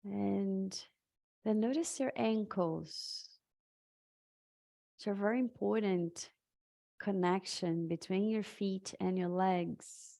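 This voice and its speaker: A woman guides a meditation at an extremely slow, unhurried pace. Her voice sits at a middling pitch with a noticeable creak. She delivers short, soothing phrases and leaves long gaps between them. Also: dark tone.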